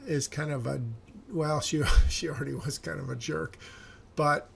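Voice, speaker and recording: Man, screenwriter working aloud; a bit raspy and fry-heavy, halting, searching for words. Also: fairly high pitch, steady tone. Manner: musing, hesitant, self-correcting. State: slightly raspy voice. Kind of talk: thinking aloud while reviewing a script.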